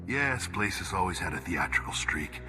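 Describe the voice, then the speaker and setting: A man's voice: low-pitched, big pitch swings, normal speed. An actor playing a smug, theatrical military commander villain who taunts his prey in a video game cutscene. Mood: smug, mocking. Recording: music behind the voice.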